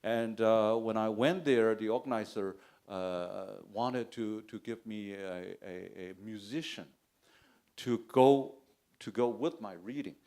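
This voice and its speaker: A man with a Chinese accent, a poet answering on a bookstore panel, halting, with many restarts and fillers. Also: on the low side, darkish tone. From China. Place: bookstore event space.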